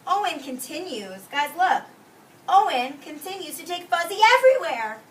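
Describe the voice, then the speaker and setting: A woman preschool teacher reading aloud expressively to young children, explaining words simply and giving gentle classroom directions. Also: sits high, big pitch swings, moderate pace. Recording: roomy, distant microphone, faint noise.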